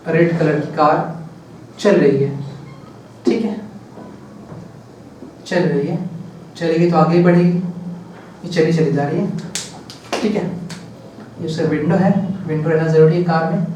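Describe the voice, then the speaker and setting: A male teacher lectures on physics at a board, his voice pitched high. He talks slowly and step by step, asks questions and answers them himself. He sounds distant from the mic, in a very echoey room with faint background noise. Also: a little mellow.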